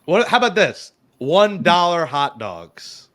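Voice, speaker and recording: A man's voice: sits high, very animated, takes it slowly, a bit bright. A man hosting a casual sports podcast, joking and poking fun at himself as he stumbles over foreign words. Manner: joking, self-mocking, fumbles foreign words. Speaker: podcast host.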